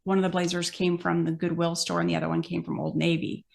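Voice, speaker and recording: An American woman with a lowish voice and plenty of vocal fry. She talks casually, as on a podcast, working through practical explanations aloud. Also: level tone, quick pace, darkish tone. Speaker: podcast guest host, amateur horse show exhibitor.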